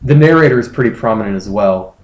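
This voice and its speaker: A man hosting a comedy podcast, talking casually in a joking, halting way. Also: on the low side, lively intonation. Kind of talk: casual chat. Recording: echoey room.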